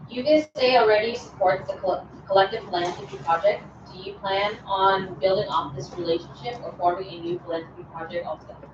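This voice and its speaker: A woman reads out questions to a candidate in a plain, even way. She sits far from the mic in an echoey room. The lo-fi recording carries clear background noise. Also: mid-range voice, brisk, few pauses. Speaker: moderator. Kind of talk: reading out questions.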